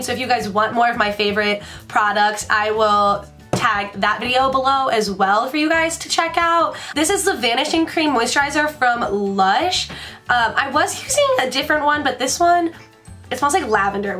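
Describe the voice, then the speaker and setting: Congested, chatty woman in her twenties, higher voice, casual bedroom vlog with faint background music. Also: a bit bright. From Washington DC area. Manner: chatty and bubbly, rambling asides. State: congested from a sinus infection. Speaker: beauty vlogger. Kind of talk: casual chat to camera. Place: bedroom, indoors.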